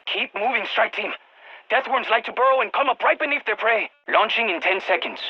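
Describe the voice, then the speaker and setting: An actor playing an anxious, excitable nerdy scientist in a video game, speaking over a radio, expressive and prone to stammering and repeating words. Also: medium pitch, fairly quick, slightly rough, dull audio, soft background music. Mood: brisk, focused. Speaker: field research scientist.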